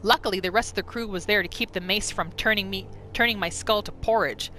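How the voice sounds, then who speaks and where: A woman voicing a rough, callous bandit who tells a grudging story in slangy, menacing words, in a game roleplay. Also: medium pitch, brisk, pushed and bright, a little hiss or hum, close to an everyday voice. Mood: menacing, distrustful. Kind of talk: in-character reading of a game journal.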